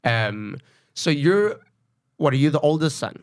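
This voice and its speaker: A man's voice: a little high, very animated, measured pace. Casual, curious male podcast host. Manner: curious, informal, with filler likes. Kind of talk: casual interview questions.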